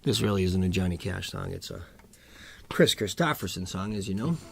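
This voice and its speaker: A middle-aged man hosting a music live stream from home, chatting casually between songs in a low, rough morning voice, rambling and chuckling. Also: expressive, brisk, a little hiss or hum. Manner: laid-back, rambling, chuckles often. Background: acoustic guitar playing.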